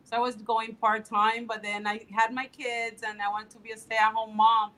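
A woman's voice: a little high, level tone, normal speed, poor audio. Eager woman in her fifties being interviewed. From Dominican Republic. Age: 50s. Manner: warm, eager, with frequent fillers. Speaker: political candidate. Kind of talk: interview answers.

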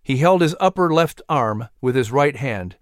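A man reading a children's adventure story as narrator, expressive and suspenseful. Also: medium pitch, moderate pace, no room sound, clean studio sound. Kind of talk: dramatic scripted story narration.

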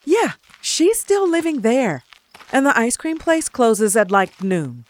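An actress playing an exasperated, sarcastic grown daughter in a naturalistic audio drama. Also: female voice, a little high, very animated, slow. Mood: complaining, frustrated. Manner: wry, emphatic, sarcastic. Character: exasperated, sarcastic grown daughter back in her creepy hometown. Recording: audio drama with faint background noise.

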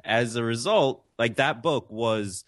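A man's voice: mid-range voice, takes it slowly, a bit bright, dry recording, clean studio sound. A young man, born in Jamaica, answering interview questions in an earnest, reflective way with frequent restarts. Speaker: interview guest. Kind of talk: conversational interview.

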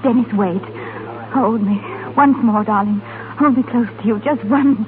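A woman's voice: a little high, a little slow, natural voice. An actress playing a frightened, devoted young woman in love in a 1930s radio drama, pleading and melodramatic. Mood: pleading, tender. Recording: noisy, tinny 1930s radio broadcast.

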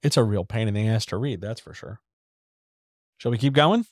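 A man's voice: on the low side, moderate pace, no room sound, clean studio sound. A man hosting a live writing chat, talking casually and loosely with asides and fillers. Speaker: host of a writers' chat.

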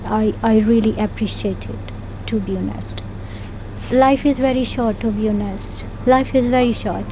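A woman with a Pakistani accent and Punjabi mother tongue, chatting casually in halting, simple non-native English phrasing. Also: fairly high pitch, warm tone. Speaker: corner shop keeper. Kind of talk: casual conversational interview. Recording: noisy ambience with vehicle sounds.

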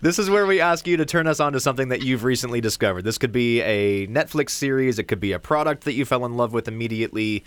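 A man's voice: mid-range voice, fast, hardly any pauses, clean tone, no echo. A man co-hosting a podcast, interviewing in an informal, conversational style. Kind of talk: casual interview chat.

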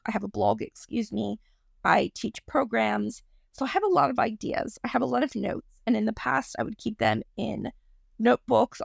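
A woman in her thirties hosting a podcast, talking casually on her own with a croaky voice, rambling and apologizing for her digressions. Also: a little high, no room sound, studio quality. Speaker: podcast host. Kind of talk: casual solo podcast monologue.

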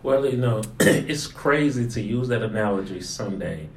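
A man with a low voice speaks as a pastor in relaxed conversation. He repeats his phrases to drive points home. The recording has a roomy echo and some background noise. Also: moderate pace. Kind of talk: casual conversational discussion of a sermon.

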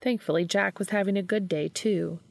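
A woman's voice: medium pitch, fairly even intonation, moderate pace, vocal fry, darkish tone, no echo. A woman reading a story aloud as scripted narration. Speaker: audiobook reader of fan fiction.

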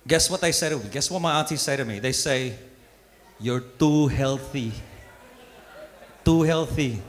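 A man's voice: medium pitch, unhurried. A young man doing a live stand-up set on a stage mic, self-deprecating and loose, stumbling and restarting as he goes. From Philippines, raised in Australia. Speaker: stand-up comedian. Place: live venue with an audience. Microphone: stage microphone.

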